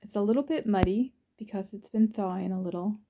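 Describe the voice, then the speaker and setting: A woman leads a guided visualization. Her voice is very soft-edged and mellow, at an average pitch for a woman. She speaks soothingly and without hurry, leaving pauses between short phrases as she narrates in the first person.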